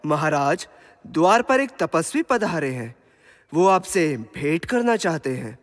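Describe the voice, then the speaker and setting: Dutiful palace herald, played by a man, high, lively formal delivery, epic radio play, hall reverb. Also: unhurried. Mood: deferential announcing. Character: deferential royal attendant announcing a visitor. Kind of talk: formal Hindi mythological audio drama acting.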